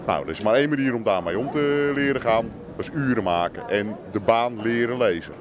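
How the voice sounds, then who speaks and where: A middle-aged man calmly explaining bowling to a reporter inside a bowling centre. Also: medium pitch, fairly quick, a crowd in the background. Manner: calm, explaining plainly. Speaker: bowling club member interviewed. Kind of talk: casual interview answers. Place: bowling centre bar, indoors. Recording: noisy background.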